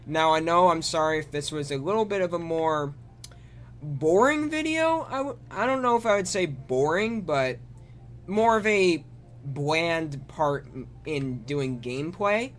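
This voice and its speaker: A man with a fairly high voice closes out his channel's episode, hesitant and trailing off softly at times. Faint music sits under his voice. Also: expressive, a little slow. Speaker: channel host. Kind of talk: casual chat, channel outro.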